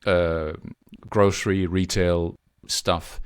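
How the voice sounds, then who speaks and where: A man, a podcast guest, who talks in a halting way with many restarts. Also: sits low, flat delivery, smooth, no room sound, clean studio sound. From Denmark. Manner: hesitant, many restarts and fillers. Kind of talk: conversational interview.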